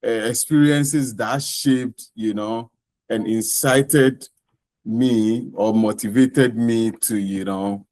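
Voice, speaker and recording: A man with a Ghanaian accent answering interview questions off the cuff, in deliberate, halting and emphatic phrases. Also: lowish voice, a little mellow, no echo. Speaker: interview guest, scholarship winner.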